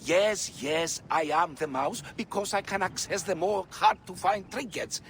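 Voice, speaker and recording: An actor playing a sly, chatty, self-pitying street merchant in a video game, ingratiating and theatrical. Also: male voice, sits high, measured pace, fairly bright tone, a little hiss or hum. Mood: wheedling, salesmanlike. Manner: ingratiating, theatrical, third-person boasting.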